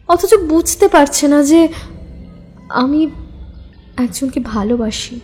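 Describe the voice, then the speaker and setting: A woman's voice: sits high, a little mellow, full character voice. A woman acting a weary young woman confiding her troubles in an intimate, plaintive audio story monologue.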